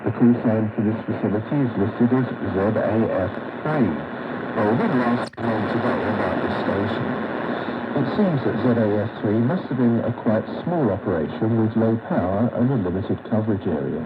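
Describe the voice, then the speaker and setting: Lower-voiced male radio narrator, reading a history script, heard through static on a shortwave set. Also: lively intonation, lo-fi sound. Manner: measured, read-aloud delivery. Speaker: radio program presenter.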